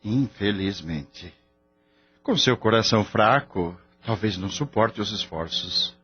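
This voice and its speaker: An actor plays a grave male veterinarian with a Brazilian accent and a low, very husky voice. He explains things formally and with measured care, as in a radio drama. The sound is dull, with music underneath. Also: expressive. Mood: worried, somber.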